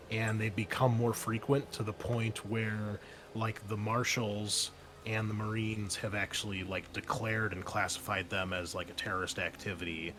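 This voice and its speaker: A man running a tabletop game as game master, explaining and narrating casually in a halting, conversational way. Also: on the low side, background noise.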